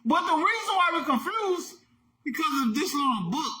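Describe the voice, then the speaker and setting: A man hosting a short online video, giving emphatic, repetitive commentary that keeps bursting into shouts. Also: extremely high pitch, very animated, normal speed, a loud crowd. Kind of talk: animated social media commentary.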